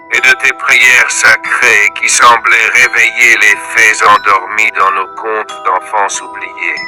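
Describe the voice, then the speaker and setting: Male poetry reader: very bright, forceful tone, slow measured declamation, scripted recitation over mallet music. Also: mid-range voice.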